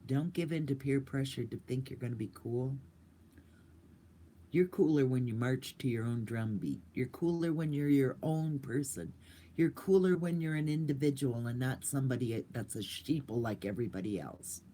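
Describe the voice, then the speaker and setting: A woman's voice: very deep voice, moderate pace, warm tone. An older woman vlogging in a casual, rambling and goofy way, chatting to viewers. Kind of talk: casual livestream chat.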